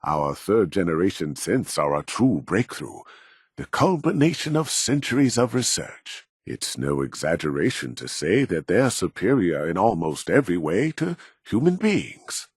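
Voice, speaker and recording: An actor playing a proud, middle-aged robotics scientist in a video game, speaking in a measured, formal and condescending way with a husky voice. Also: male voice, low-pitched, very animated, dry recording, studio quality, full character voice. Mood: proud, persuasive. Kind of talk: scripted video game dialogue.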